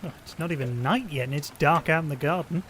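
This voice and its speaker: A man's voice: on the high side, quick pace, fairly bright tone, a little hiss or hum, close to an everyday voice. A man playing a timid, pleading young husband and father in an audio drama, hesitant and awkward in manner. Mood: gloomy. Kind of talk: naturalistic audio drama acting.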